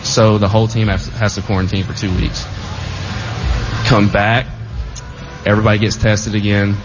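A young man, a football player, telling his story as a radio show guest in a relaxed, rambling way full of fillers. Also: fairly low pitch, moderate pace. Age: young adult. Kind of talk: casual radio interview storytelling. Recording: dull lo-fi audio with clear background noise.

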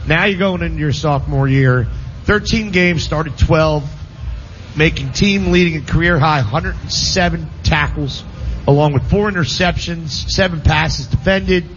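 A man's voice: fairly high pitch, fairly bright tone. A man hosting a live sports radio show, joking with his co-hosts and reading sponsor copy. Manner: jokey, reads sponsor copy briskly. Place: radio broadcast set at a casino. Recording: slightly dull radio studio audio, faint noise.

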